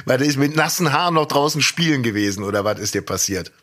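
A man's voice: medium pitch, animated, fast talker, fairly bright tone. A man in his fifties co-hosting a casual podcast, teasing his partner with dry quips and brief replies. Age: 50s. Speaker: podcast co-host.

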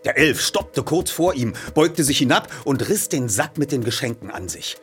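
A man presenting and reading a story aloud in a lively narrating style. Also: mid-range voice, normal speed, soft background music. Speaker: audiobook reader and presenter.

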